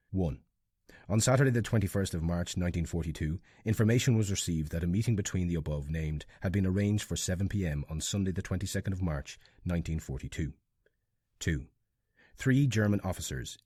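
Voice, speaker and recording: Male reader for archival reports; deep, rough-edged voice, formal delivery. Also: mellow, dry recording, clean studio sound. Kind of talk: formal reading of intelligence reports.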